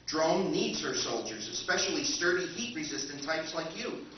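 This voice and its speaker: A man's voice: a little high, lively intonation, normal speed, close to an everyday voice. A man playing an unflappable, doting military machine in a live 1950s-style radio play, speaking formally and precisely with solemn earnestness. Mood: formal, concerned. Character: unflappable, polite, doting military machine tending supposed soldiers. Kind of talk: live recreation of 1950s radio science fiction acting. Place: live stage at a convention, indoors. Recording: echoey, distant, dull noisy convention hall.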